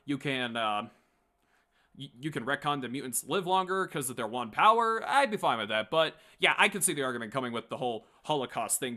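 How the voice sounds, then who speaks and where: A man's voice: a little high, bright, forceful tone. A man hosting a casual, opinionated commentary show, talking informally in a rambling, stop-start and emphatic way. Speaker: host of a late-night pop culture commentary show.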